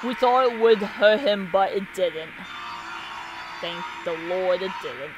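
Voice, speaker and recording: A man's voice: high-pitched, slow, music in the background, rough recording. A young man giving casual reaction commentary and reading captions aloud, with muttered asides, on a close mic in a small room. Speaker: reaction video host.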